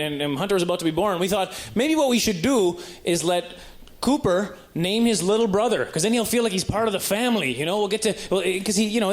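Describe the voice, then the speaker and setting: A man doing conversational stand-up comedy, rambling and stumbling over words as he tells stories in a live recording. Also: high voice. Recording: live stand-up recording with clear background noise.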